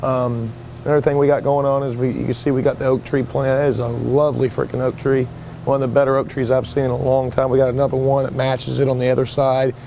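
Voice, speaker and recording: Brisk foreman talking flat and fast. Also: medium pitch, vocal fry, a little hiss or hum. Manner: brisk, matter-of-fact, working-crew talk. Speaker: job foreman. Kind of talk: on-site progress update. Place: outdoors at a windy beach-side job site.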